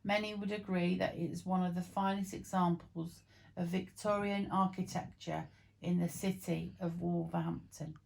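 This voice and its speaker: A woman's voice: on the low side, fairly even intonation, normal speed, vocal fry, a little mellow, poor audio. A woman reading printed text aloud in an informal, amateur storytelling way, now and then tripping over words. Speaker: vlog co-presenter reading aloud.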